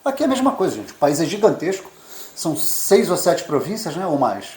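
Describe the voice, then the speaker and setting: Fast-talking Brazilian man in his fifties. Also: fairly high pitch, wildly swooping pitch, darkish tone. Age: 50s. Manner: rambling, repeats words, frequent "né". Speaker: co-host, immigrant journalist. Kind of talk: casual chat. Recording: echoey room.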